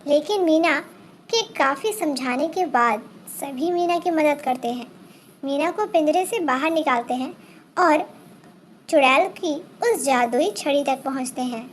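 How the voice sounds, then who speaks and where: High-pitched woman narrating a children's tale. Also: unhurried, slight background noise. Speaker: storyteller.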